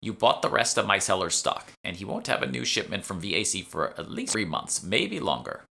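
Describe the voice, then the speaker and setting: A small, elderly shopwoman acted by a man speaks with grudging, sardonic bite. The pitch is lifted and swings about, with a bright edge, as in an audiobook story. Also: big pitch swings, brisk, vocal fry, full character voice. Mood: exasperated, grudging. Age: older. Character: petite elderly shopwoman, bored, prickly and wry. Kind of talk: audiobook character dialogue.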